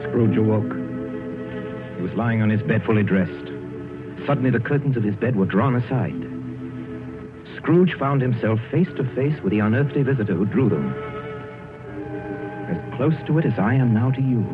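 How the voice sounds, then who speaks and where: An actor playing a dramatic, confiding storyteller, delivering scripted narration for an old radio drama. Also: male voice, mid-range voice, lively intonation, moderate pace, pauses often, natural voice. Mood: suspenseful, confiding. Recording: old tinny band-limited radio recording, music.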